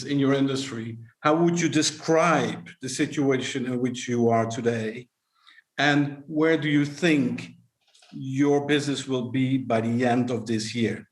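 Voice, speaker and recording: Brisk male panel moderator with a slightly high voice; recorded in a reverberant room. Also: slight background noise. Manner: brisk, directing.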